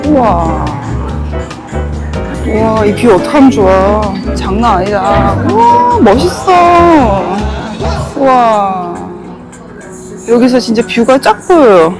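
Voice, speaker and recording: A middle-aged woman with a Busan accent chats through a travel vlog, full of exclamations. Her voice sits fairly high and swings around a lot. Music plays clearly behind her. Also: slow pace, rough recording. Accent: Busan Gyeongsang dialect. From Busan, South Korea. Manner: chatty, exclaims often, slips into dialect when excited. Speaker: travel vlogger. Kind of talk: casual vlog chat. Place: outdoors by a river and in a convenience store.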